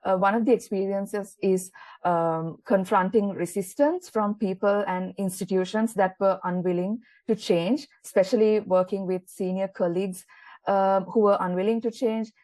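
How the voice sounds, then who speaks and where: A woman's voice: mid-range voice, steady tone, normal speed, a little mellow, no room sound, low-quality recording. Careful Sri Lankan woman, very dry sound. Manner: careful, with frequent uh fillers. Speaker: gender equality advocate. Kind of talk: personal testimonial statement.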